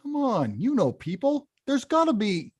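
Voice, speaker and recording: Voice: mid-range voice, wildly swooping pitch, normal speed, darkish tone, slightly rough. An actor plays a big, stubborn bad guy from a cartoon about video games. The voice swings widely as he blusters with frustration. He is emphatic and emotional, hungry for respect. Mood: earnest, determined. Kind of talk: animated film script table read.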